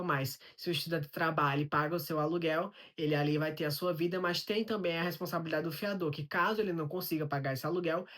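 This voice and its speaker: A woman with a Brazilian Portuguese accent, telling a personal story to camera in a vlog and explaining things step by step, often asking a question and then answering it herself. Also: low voice. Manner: explanatory, poses rhetorical questions and answers them. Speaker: vlogger.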